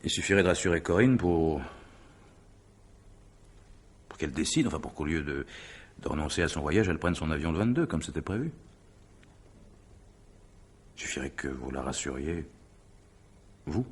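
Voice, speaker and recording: An actor playing a married man courting hesitantly, coaxing in halting phrases, in radio drama style. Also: a bass voice, animated, husky, heavily acted. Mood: hesitant, persuasive. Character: married man, hesitant suitor showing off his country house. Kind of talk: 1950s French radio drama acting.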